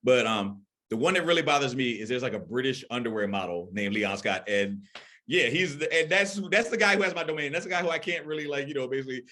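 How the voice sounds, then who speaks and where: A man's voice: mid-range voice, animated, fast, bright, forceful tone. A man performing a casual stand-up comedy set in a conversational, rambling, self-deprecating way.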